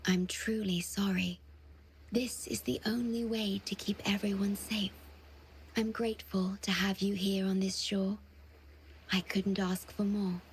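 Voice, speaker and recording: A gentle, devoted female guardian played by a woman speaks in a soft, breathy, solemn way. Her medium-pitched voice stays very even, in a game cutscene with faint ambient noise behind her. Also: measured pace, lightly acted. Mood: tender, sad. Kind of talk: scripted video game cutscene acting.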